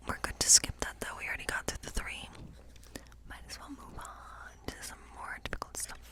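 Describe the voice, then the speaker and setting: A woman playing a friendly, chatty woodland witch in an ASMR role play, speaking in a breathy whisper and rambling gently. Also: close to an everyday voice, rough recording. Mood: gentle, encouraging. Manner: rambling, gentle, lingering over phrases.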